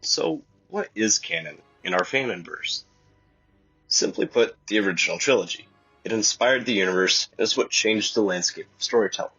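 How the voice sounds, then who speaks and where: A man's voice: fairly low pitch, animated, normal speed, pushed and bright, breathy edge, faint music behind. A man reading a scripted announcement, explaining plainly. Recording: dull lo-fi recording.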